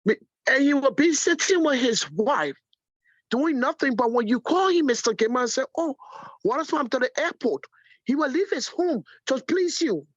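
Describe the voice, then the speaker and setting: A woman's voice: high-pitched, animated, normal speed, slightly rough, dry recording. Lady calling into a show on a dull phone line, giving opinions, dry sound. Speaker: caller. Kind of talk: opinionated casual phone-in commentary. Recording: muffled, band-limited phone call.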